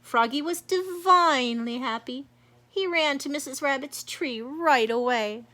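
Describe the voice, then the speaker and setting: A woman's voice: high-pitched, unhurried, sharp tone. A woman narrating a children's storybook as a read-aloud. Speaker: storybook reader.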